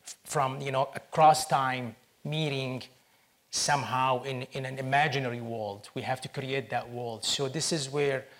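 A man from Syria, a film producer answering interview questions in a halting, earnest way with many restarts. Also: mid-range voice, fairly even intonation, fairly bright tone.

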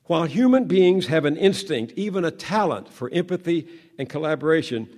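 A man in his seventies from the American South, a journalist giving a measured speech with dramatic pauses that trail off to a low hush. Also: expressive. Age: 70s.

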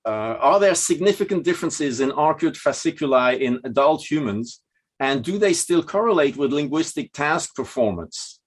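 A man with a Swiss accent moderating an academic panel's questions, halting with many restarts. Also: fairly high pitch, animated, slightly rough.

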